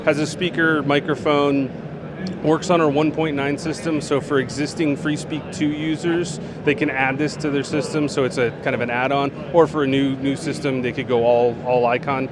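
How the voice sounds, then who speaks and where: A man speaks in a mid-range voice, relaxed and chatty, often pausing on "um" and restarting his sentences. He is answering questions as a guest at a busy trade show, and noise from the floor carries clearly behind him. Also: mid-range voice. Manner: relaxed, frequent fillers and restarts. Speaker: product manager, interview guest. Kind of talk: casual trade-show interview.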